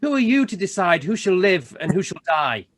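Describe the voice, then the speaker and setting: A man playing a stern, solemn Christmas spirit in an amateur radio play, speaking in a deliberate, formal way. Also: sits high, sing-song. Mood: stern rebuke. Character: solemn, rebuking male Spirit of Christmas Present.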